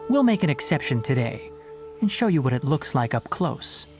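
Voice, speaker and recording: A young fox-eared forest ranger in an anime game. He is mid-pitched, measured and articulate, earnest and a little stern as he explains, over soft music. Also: very animated. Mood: cautionary. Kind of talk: anime-style video game voice acting.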